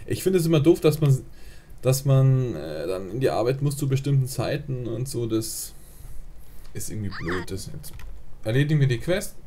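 A man's voice: mid-range voice, mellow, slight background noise. A man, live streaming a life-simulation game, rambling casually and answering chat with lots of fillers. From Germany. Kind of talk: casual gaming livestream chat.

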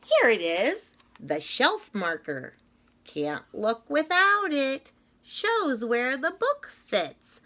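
A middle-aged woman reading a children's picture book aloud like a teacher, in an expressive, rhythmic sing-song manner with drawn-out phrases. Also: sits high. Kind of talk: read-aloud of a children's story. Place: small indoor classroom-style room.

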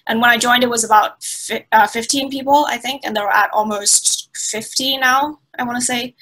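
A young woman from Thailand speaks over a laptop webcam from a small indoor room. Her voice is bright and a little high. She answers in long, thoughtful runs full of fillers and restarts. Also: steady tone, moderate pace, rough recording. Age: 20s. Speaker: guest. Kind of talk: conversational interview answers. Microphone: laptop webcam on a video call.

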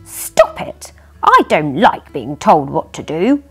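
A naughty little girl dolphin from a children's storybook , acted out by a woman reader. She is loud, defiant and pouting, with a husky edge and a swooping pitch. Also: huge pitch swings, slow pace, faint music behind, heavily acted. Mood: angry, defiant. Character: naughty young female dolphin pupil, defiant tantrum-thrower.

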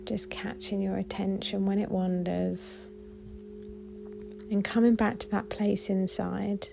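A woman guiding a body scan meditation in a gentle, soothing, unhurried way with long pauses. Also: mid-range voice, level tone, vocal fry, music in the background. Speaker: meditation guide.

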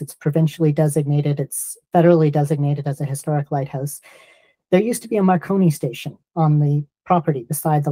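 A middle-aged man, explaining things in a measured, informative way as an interview guest on a video call. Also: fairly high pitch, dark tone, no room sound. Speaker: guest, lighthouse organisation executive.

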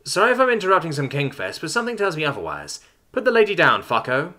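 A man's voice: a little high, big pitch swings, fast talker, sharp tone, pitched above the performer's own voice, full character voice. A man voicing a blunt, roguish human woman spacefarer with a casual, cocky, sweary manner in a story. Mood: commanding.

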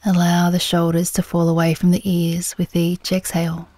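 Mellow, low-pitched woman guiding meditation. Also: monotone, no room sound. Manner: gentle, soothing, unhurried with long pauses. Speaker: meditation guide. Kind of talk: guided meditation.

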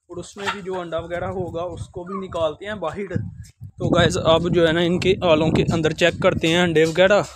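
A young man with a fairly high voice chats his way through farm chores outdoors, talking straight to his viewers. He leans on the same filler phrases again and again. The sound comes through a phone held at arm's length. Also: background noise. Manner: chatty, repeats filler phrases, addresses viewers as guys. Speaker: village life vlogger. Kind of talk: casual walkthrough narration. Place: outdoor village courtyard with poultry.

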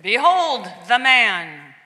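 A woman playing forceful, insistent Roman-era figures in a dramatic scripture reading, with emphatic, declamatory delivery. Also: fairly high pitch, expressive, a little slow, full character voice. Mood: commanding, questioning. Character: Roman governor and clamoring crowd, forceful and insistent. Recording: long echoing hall reverb.

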